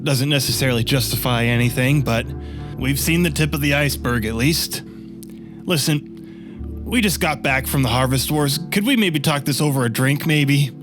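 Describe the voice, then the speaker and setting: A man playing a tabletop game, improvising dialogue in character in a measured, diplomatic way. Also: mid-range voice. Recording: music bed under the voice.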